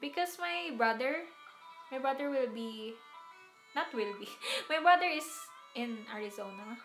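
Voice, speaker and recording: A woman's voice: fairly high pitch, a bit bright. Chatty Filipino woman streamer, roomy sound. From Philippines. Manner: chatty, laughs often, repeats and restarts words. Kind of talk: casual chat to live viewers. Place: small room indoors.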